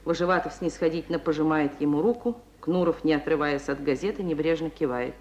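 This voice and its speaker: A woman's voice: sits low, a little fast, darkish tone, highs cut off. A woman reading scripted narration of the action in a 1950s radio play. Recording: old radio recording, faint hiss.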